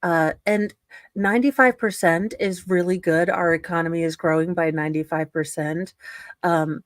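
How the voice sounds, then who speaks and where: A woman's voice: fairly low pitch, steady tone, moderate pace, no echo, studio quality. A woman reading a legal ruling aloud in a casual, dramatic style, breaking off for salty, irreverent commentary. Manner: irreverent, salty commentary between read passages.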